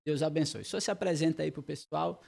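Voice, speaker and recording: A man's voice: a little high, fast talker, creaky, darkish tone. A man hosting a church podcast, welcoming and interviewing a visiting pastor with formal, polite questions. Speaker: podcast host.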